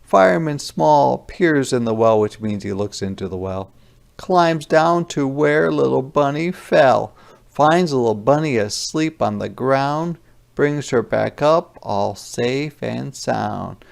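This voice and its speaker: A man with a creaky voice reading a children's picture book aloud and pausing to explain words simply. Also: mid-range voice, expressive.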